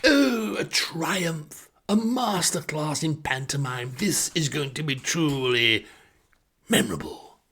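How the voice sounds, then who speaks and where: A man voicing a flamboyant pantomime director in a pompous, theatrical, drawn-out delivery, as in a comic story. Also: a little high, lively intonation, a bit bright, slightly rough, pitched above the performer's own voice, exaggerated voice. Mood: grandly delighted. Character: flamboyant, over-the-top male pantomime director.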